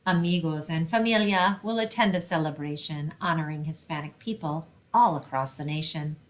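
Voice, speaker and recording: A woman's voice: low voice, normal speed. A woman reading a picture book aloud and explaining things simply for children, as a librarian hosting story time.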